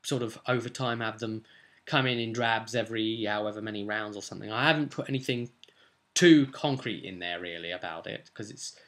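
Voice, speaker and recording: A man's voice: lowish voice, brisk, fairly bright tone. A man in his twenties talking informally and off the cuff, recapping a tabletop role-playing game in a rambling, conversational way at his desk. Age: 20s. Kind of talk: casual unscripted game session recap. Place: small furnished room at home.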